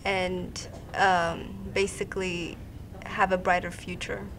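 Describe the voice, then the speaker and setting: A woman's voice: on the low side, little pitch movement, takes it slowly, noisy background. A teenage girl from Kenya, being interviewed for a school TV show and answering with many hesitant ums. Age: late teens. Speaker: interviewed student.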